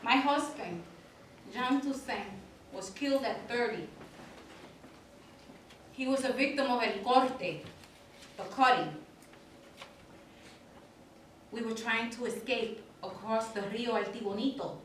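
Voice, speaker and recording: Woman acting slow, high-voiced elderly widow, echoey. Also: close to an everyday voice. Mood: sorrowful, hesitant. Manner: slow, deliberate, hesitant storytelling with dramatic pauses. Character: elderly Dominican widow, lonely, courteous, haunted immigrant storyteller. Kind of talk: theatrical stage monologue. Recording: echoey room, distant mic, faint noise.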